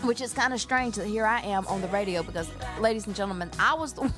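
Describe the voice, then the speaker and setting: A woman's voice: mid-range voice, fast talker, music in the background. A woman in her forties hosting a radio morning show, chatting in a rambling, folksy way and laughing often. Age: 40s. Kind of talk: casual radio interview.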